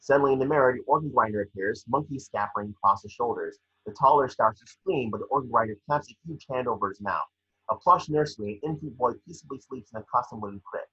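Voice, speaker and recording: A man with a rough, husky voice reading scripted scene descriptions at a table read. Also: medium pitch, quick pace, bright, forceful tone, highs cut off.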